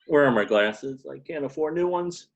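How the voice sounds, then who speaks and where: A frantic male computer programmer in a jam, acted by a man at a table read, grumbling and muttering to himself in irritation. His voice sits fairly high. The home recording is a little dull. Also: animated, normal speed. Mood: rattled, irritable. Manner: muttering, exasperated, talks to himself. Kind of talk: informal script table read.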